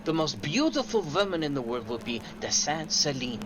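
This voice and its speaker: Sly, genial male fixer played by an actor, higher-pitched with lively swoops, old-time radio mystery style. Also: normal speed, background noise. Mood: sly, bargaining. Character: sly, mercenary male Lisbon informant, genial and cagey.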